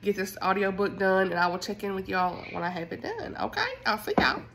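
A woman's voice: mid-range voice, fairly quick, over music. Congested Southern woman chatting casually. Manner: chatty, informal, expressive. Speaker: book vlogger. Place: at home.

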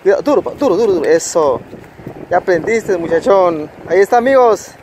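A man's voice: high-pitched, lively intonation. A man narrating a fishing trip to his viewers from a rocky lakeshore, cheering on and coaching his friend as he reels in fish. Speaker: fishing vlogger. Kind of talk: casual outdoor narration to viewers. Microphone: handheld phone camera. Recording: wind and water noise on phone mic. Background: wind and rushing water.

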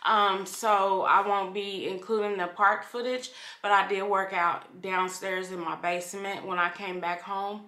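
Casting: middle-aged female vlogger, casual and unhurried, bright voice with room echo. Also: medium pitch, flat delivery. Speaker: vlogger, channel host. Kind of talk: casual chat to camera. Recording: roomy echo from camera microphone.

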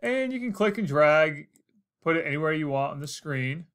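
A man's voice: fairly high pitch, animated, moderate pace, a bit bright, clear, resonant tone. An American man in his forties narrating a casual instructional screencast, explaining things step by step. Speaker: tutorial host.

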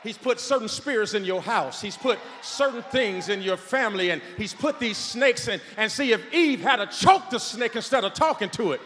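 A man in his forties, a pastor, preaching a sermon on a large church stage with a handheld microphone, shouting and calling on the crowd to respond. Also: sits high, lively intonation, normal speed, hardly any pauses, a little hiss or hum. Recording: long hall reverb.

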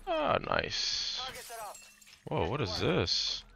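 A man hosting casual gameplay commentary, raspy and hoarse from a cold, laid-back with startled outbursts. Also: medium pitch, wildly swooping pitch, extremely slow. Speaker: gaming channel host.

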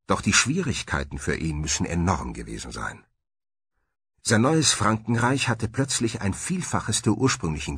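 A man reading scripted documentary narration in a measured, formal manner. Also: deep voice, a bit bright, slightly rough, no room sound.

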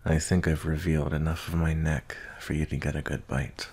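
A man playing a gruff but tender werewolf bounty hunter in an ASMR roleplay, soft-spoken and gentle, with hesitant pauses. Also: flat delivery, exaggerated voice. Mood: gentle, soothing. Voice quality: very deep, gravelly, husky.

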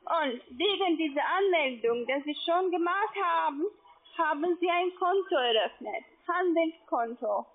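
A woman speaking non-native German as a call-centre agent, insistent, halting and repeating herself on a sales call. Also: extremely high pitch, expressive. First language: not German. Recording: tinny narrowband phone line.

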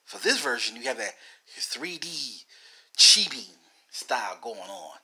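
A man's voice: medium pitch, very animated, measured pace, sharp tone, slightly rough. A man giving rambling video game commentary in a theatrical manner, repeating phrases and talking straight at the viewers.

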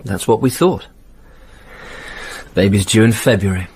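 Radio play adulterer: politician, low, very husky, uneasy, evasive. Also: male voice, a little slow, darkish tone. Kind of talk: radio drama acting.

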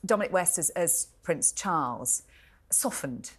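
A British woman in her forties giving measured, articulate commentary as a royal editor in a television studio, stressing key words. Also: lowish voice, lively intonation, slightly rough. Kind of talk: live broadcast review and commentary.

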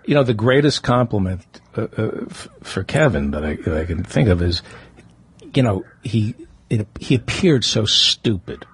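A man with a husky voice hosting a casual interview, talking anecdotally in halting runs with repeated words. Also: fairly low pitch, lively intonation. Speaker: podcast host and comedian.

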